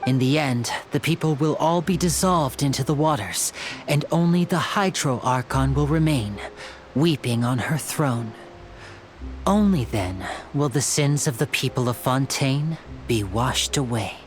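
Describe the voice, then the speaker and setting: An actress playing a composed, earnest and mysterious young woman in a video game, with measured, articulate, theatrical delivery. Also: a bass voice, lively intonation, slight background noise. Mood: solemn.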